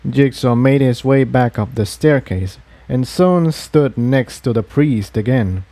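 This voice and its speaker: A man's voice: medium pitch, lively intonation, measured pace, dark tone, no room sound. A man reading an adventure story aloud as narrator, in scripted audiobook style. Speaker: narrator reading fan fiction.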